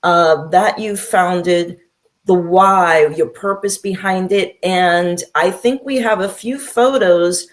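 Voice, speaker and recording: A woman's voice: fairly low pitch, slow pace. A woman hosting an interview show, upbeat and encouraging as she asks her guest questions. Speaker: show host.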